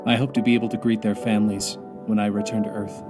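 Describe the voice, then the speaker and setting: A man's voice: on the low side, level tone, quick pace, natural voice. Weary stranded astronaut, played by a man, music behind. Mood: anxious, resolute. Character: stranded American male astronaut commander, weary, grieving, clinging to hope. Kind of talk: audio drama monologue.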